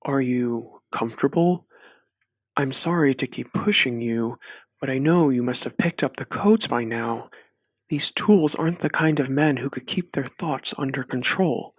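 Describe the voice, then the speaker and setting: An actor playing a coercive villain in an audiobook, quietly persuasive and patronizing, with false care. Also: male voice, medium pitch, unhurried, slightly rough, no echo. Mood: coaxing menace. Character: male villain, falsely caring, coercive older brother. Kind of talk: audiobook character acting.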